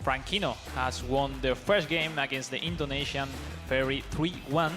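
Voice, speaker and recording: A man's voice: mid-range voice, over music. A man whose first language is Spanish, giving live esports analysis in eager, non-native English. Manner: eager, non-native phrasing, quick rising calls during play.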